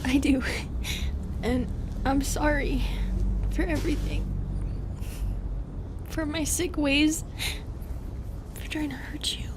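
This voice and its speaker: A woman playing an anguished, remorseful female medusa in an intimate audio roleplay, tender and fragile, with a halting, emotional, pleading delivery. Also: high-pitched, steady tone, noisy background. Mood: hushed, remorseful.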